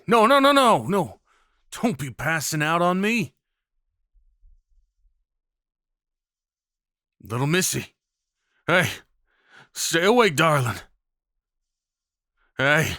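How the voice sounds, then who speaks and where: Man acting slow-talking folksy cowboy, bright voice. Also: a little high, very animated, creaky, no room sound, clean studio sound, voice pushed up, exaggerated voice. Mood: alarmed, urgent. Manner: folksy drawl, gentle and reassuring. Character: rugged, kindly young cowboy of the Old West. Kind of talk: roleplay audio drama acting.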